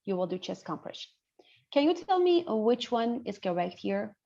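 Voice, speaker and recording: A woman's voice: on the high side, very animated, normal speed, vocal fry, warm tone. A woman with an Iranian accent teaching an interactive online medical class, explaining and often checking that listeners follow. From northern Iran. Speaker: lecturer, pediatrician. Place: indoors on a video call. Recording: muffled video call audio.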